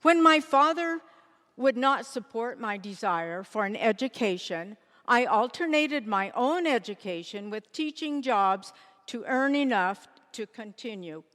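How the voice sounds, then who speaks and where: A woman performing a dignified, resolute nineteenth-century women's rights pioneer in a scripted stage monologue, with measured, deliberate oratory. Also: a little high, expressive, fairly bright tone. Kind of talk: scripted readers theater monologue. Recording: echoey hall with long reverb.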